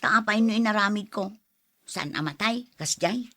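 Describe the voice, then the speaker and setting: A woman's voice: medium pitch, normal speed, a bit bright, close to an everyday voice. A woman playing an evasive youngest daughter and caretaker in a Filipino family drama, with a Filipino accent. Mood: defensive. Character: youngest daughter, evasive caretaker of her mother, given to wailing. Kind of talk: Filipino radio-style family drama acting.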